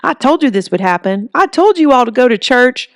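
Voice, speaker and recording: A woman's voice: sits high, very animated, fairly quick, no room sound, studio quality, voice pushed up. A woman voicing a sanctimonious, scolding church zealot who declaims preachily in an audiobook. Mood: righteous scolding.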